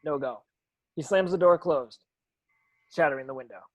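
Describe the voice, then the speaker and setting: A woman's voice: low-pitched, moderate pace, dry recording. Woman reading stage directions, dry sound. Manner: reading aloud with stumbles. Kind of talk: scripted narration.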